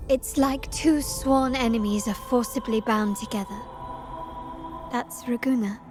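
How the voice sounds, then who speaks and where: Actress as a cryptic, elegant stranger, slightly high voice, over game music. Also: female voice, lightly acted. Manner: measured, elegant, teasing. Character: poised, enigmatic adult woman, knowing and cryptic. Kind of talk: game cutscene voice acting. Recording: music score behind.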